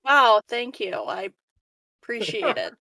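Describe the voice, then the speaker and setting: A woman with a fairly high voice plays a tabletop roleplaying game. She improvises her lines casually and haltingly, with a playful, teasing manner. The recording is dry and close. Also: lively intonation, gravelly, bright, forceful tone, no room sound. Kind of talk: casual in-character improvised dialogue and table chat.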